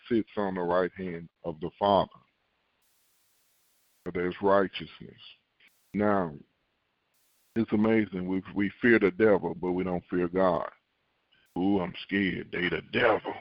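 A man preaching a sermon, reading scripture and acting out worried believers in a raised voice, repeating key lines slowly for emphasis. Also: low voice, steady tone, no room sound, lo-fi sound. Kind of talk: podcast sermon.

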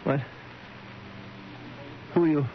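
A man's voice: slow pace, lightly acted. An American man acting in a 1970s radio drama as an anxious, devoted husband and father, earnest and worried. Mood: startled, anxious. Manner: earnest, worried, urgent questioning. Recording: muffled, noisy old radio broadcast.

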